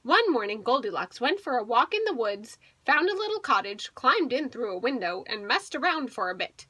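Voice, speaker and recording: A woman's voice: fairly high pitch, sing-song, moderate pace, bright, forceful tone, dry recording. A woman teacher reading a picture book aloud to young children, expressively and with little explanatory asides.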